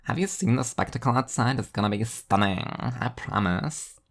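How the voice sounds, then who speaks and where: A man's voice: medium pitch, animated, normal speed, a bit bright. A man reading the part of a flippant, casually menacing female despot in an audiobook story. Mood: breezy. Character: flippant, casually menacing woman despot lounging on her throne.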